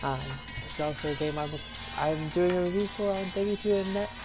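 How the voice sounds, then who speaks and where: Woman, slightly low voice, informal let's play host, rambling, loud rock music over the voice. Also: a little fast. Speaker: game playthrough host. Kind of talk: casual let's play commentary. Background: heavy rock guitar music.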